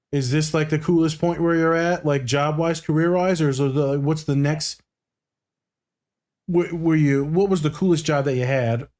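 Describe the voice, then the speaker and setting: A man's voice: fairly high pitch, a little mellow. A man co-hosting a podcast, joking around and asking rambling questions. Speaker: podcast co-host. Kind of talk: casual podcast chat.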